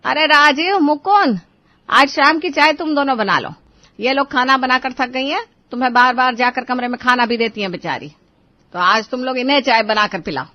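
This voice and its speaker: Woman as firm, high-voiced family matriarch. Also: moderate pace, no room sound, highs cut off, natural voice. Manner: firm, instructive. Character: shrewd, determined mother-in-law, family matriarch.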